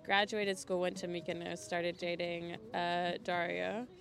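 A woman's voice: on the low side, little pitch movement, measured pace, creaky. A young woman telling stories casually as a podcast guest, chatty and self-deprecating. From Europe, mainly Switzerland, Belgium and Scandinavia. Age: young adult. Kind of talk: casual conversational storytelling.

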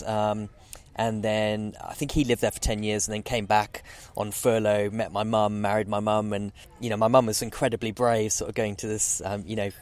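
A man's voice: lowish voice, darkish tone. A man, answering interview questions in a rambling way with lots of fillers. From Zambia. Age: 40s. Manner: rambling, many fillers and restarts. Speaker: guest oceanographer. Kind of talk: casual interview.

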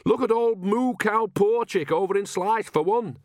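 A man's voice: high voice, normal speed, a little mellow, no echo, clean studio sound, pitched above the performer's own voice, natural voice. A man voicing an earthy, self-assured old village woman who chats in a knowing, matter-of-fact way for a storybook. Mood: firm, knowing.

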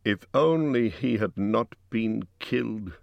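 A man voicing excitable, aggrieved lakeside townsfolk, calling out emphatically in a fantasy storybook. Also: on the low side, slow, gravelly, no room sound, dull audio, pitched above the performer's own voice, heavily acted. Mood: mournful. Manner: clamorous, calling out.

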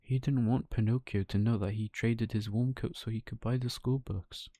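A man's voice: medium pitch, fairly even intonation, moderate pace, warm tone, no room sound, clean studio sound. A man narrating a children's bedtime story as a storyteller.

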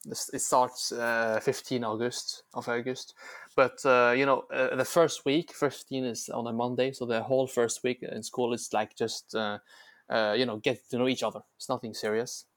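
A young man who speaks English as a second language joins a podcast as a guest. He talks casually but haltingly, pausing often to search for the next word. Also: medium pitch, flat delivery, a bit bright. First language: not English. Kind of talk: casual chat.

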